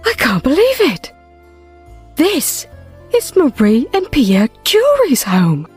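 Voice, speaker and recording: A restless, wry woman who has lived very long and hunts down lost books. Her voice is high and swings expressively. She speaks with brisk, matter-of-fact confidence in a full-cast audio drama, over music. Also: huge pitch swings, a little mellow, exaggerated voice. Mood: excited, urgent.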